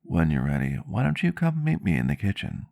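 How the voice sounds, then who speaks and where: A man playing a gentle, courteous vampire doctor in an ASMR roleplay, soft-spoken, caring and formal in his wording, close to the mic. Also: low voice, huge pitch swings, fairly quick, vocal fry, no room sound, heavily acted. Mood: fond, cheerful. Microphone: close microphone, dry sound.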